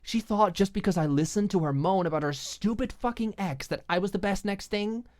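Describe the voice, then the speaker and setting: A woman's voice: mid-range voice, brisk, darkish tone, dry recording, voice pushed up, lightly acted. A woman playing a clingy, jealous young girlfriend in an audiobook drama, pushy and insistent. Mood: angry, jealous. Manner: coaxing, insistent, scornful when crossed.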